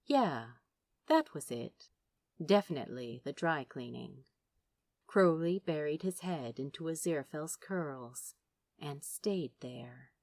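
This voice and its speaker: Low-voiced woman narrating expressively. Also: darkish tone, no echo. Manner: dramatic, emotive storytelling. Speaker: fan fiction narrator. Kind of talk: expressive scripted audiobook narration.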